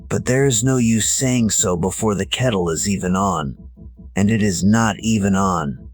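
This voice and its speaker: A man voicing a weary, bitter old record shop man in an audio drama, grave and resigned, with a husky voice. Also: moderate pace, soft background music, close to an everyday voice. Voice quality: husky, low. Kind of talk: scripted audio drama dialogue.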